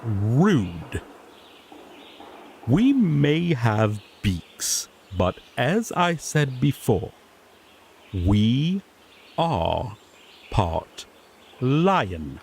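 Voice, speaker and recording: A man's voice: mid-range voice, gravelly, darkish tone, a little hiss or hum, full character voice. A man playing a sassy griffon knight in a fantasy audio drama, an earnest rookie lawman who explains things formally with mild exasperation. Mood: exasperated.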